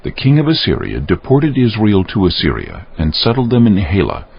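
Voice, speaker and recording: A man narrating scripture from a script in a solemn, measured, dramatic storytelling style. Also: fairly low pitch, wildly swooping pitch, gravelly, breathy edge. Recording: dull lo-fi audio, faint music underneath.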